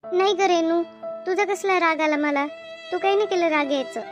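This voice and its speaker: Woman acting a very high-pitched chatty village woman. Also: normal speed, muffled recording, faint music behind. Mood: hurt, explaining. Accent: rural Maharashtrian Marathi. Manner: chatty, repetitive, rustic. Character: chatty village woman, bossy yet easily hurt, Marathi cartoon. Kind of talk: cartoon comedy dialogue.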